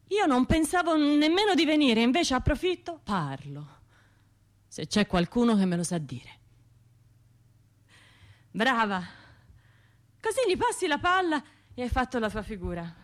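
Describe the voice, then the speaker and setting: An actress playing a troubled, hesitant bombing survivor in a radio drama monologue, speaking in a halting, self-questioning, conversational way. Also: female voice, high voice. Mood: self-mocking.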